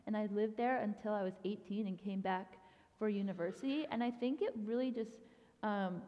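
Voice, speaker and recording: A young woman gives a casual guest talk at a church, chatty and informal with plenty of "um" and "like." Her voice rings with a long hall reverb, and the recording sounds somewhat dull and lo-fi. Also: medium pitch. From grew up in Thailand. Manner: chatty, frequent "um" and "like". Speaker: youth ministry director, guest speaker.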